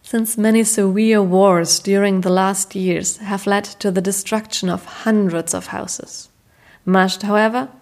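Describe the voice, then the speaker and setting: A woman narrates a news documentary in a measured, informative tone. Her mid-pitched voice has a gravelly fry, and soft music plays faintly underneath.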